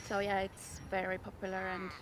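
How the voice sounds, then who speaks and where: A woman with an Estonian accent, a native Estonian speaker, giving brief, relaxed answers in an outdoor interview. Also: mid-range voice, fairly quick. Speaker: disc golf player. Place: outdoors at a sports event. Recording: outdoor mic with clear background noise.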